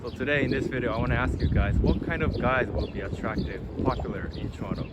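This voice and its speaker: A man's voice: mid-range voice, quick pace, highs cut off. A man whose first language is Japanese, politely asking people simple questions in a street interview outdoors. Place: busy city street outdoors. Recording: loud street traffic noise.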